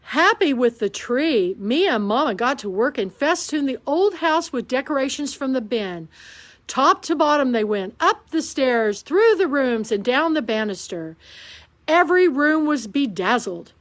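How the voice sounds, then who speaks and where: Woman, picture-book read-aloud, slow pace, lively pitch. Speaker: storytime reader.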